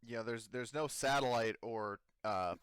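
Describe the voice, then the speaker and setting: A man acting as game master of a tabletop roleplaying game, improvising his narration haltingly as he searches for words. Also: mid-range voice, a bit bright, clean tone, no room sound, clean studio sound.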